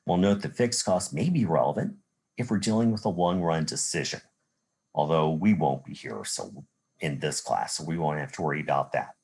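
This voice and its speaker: Deep, husky American male lecturer. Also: lively intonation, moderate pace, a little mellow. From Chicago area, United States. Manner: methodical, step-by-step explaining with rhetorical "well" and "again". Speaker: college accounting instructor. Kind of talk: recorded lecture working through examples.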